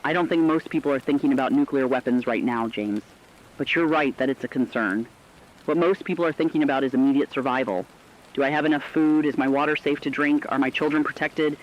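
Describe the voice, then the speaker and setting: A man's voice: mid-range voice, a little fast, close to an everyday voice. Earnest radio co-anchor played by a man, muffled sound. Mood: troubled, earnest. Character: concerned, empathetic male radio co-anchor dwelling on human suffering. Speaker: news co-anchor. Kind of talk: scripted radio commentary.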